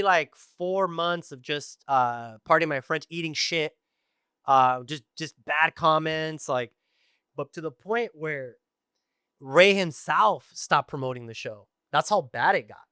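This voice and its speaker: Bright, crisp-voiced man telling stories. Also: on the high side, measured pace, no echo, studio quality. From Tijuana and East Los Angeles. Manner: animated storytelling with many fillers. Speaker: guest. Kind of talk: casual podcast conversation.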